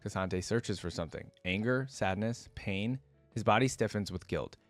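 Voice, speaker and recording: Deep-voiced man reading a story aloud. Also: moderate pace, dry recording, faint music behind. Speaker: reaction video creator.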